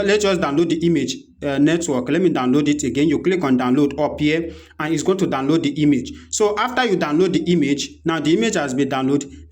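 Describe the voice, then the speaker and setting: A man with a Nigerian accent presenting an unscripted software walkthrough, explaining step by step and repeating his instructions as he goes. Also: fairly high pitch. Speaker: tutorial presenter.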